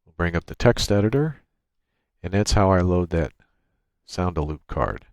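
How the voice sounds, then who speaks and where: A man with a deep voice presents an informal software walkthrough. He explains each step as he goes, speaking into a USB microphone. The sound is dry, with little room in it. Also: animated, normal speed, no room sound. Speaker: tutorial presenter. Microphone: USB microphone through a noise gate.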